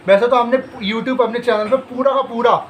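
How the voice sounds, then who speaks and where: A man's voice: very high-pitched, fairly even intonation, normal speed, a bit bright, a little hiss or hum. A man hosting an education channel, explaining things to students in a direct, reassuring way with rhetorical questions.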